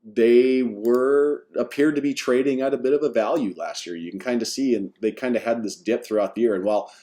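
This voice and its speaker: A man talking through financial takeaways in an informal, explanatory way, with a measured, earnest, conversational manner. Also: medium pitch, warm tone. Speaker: amateur investor presenting takeaways. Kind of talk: informal explanatory commentary over screen share.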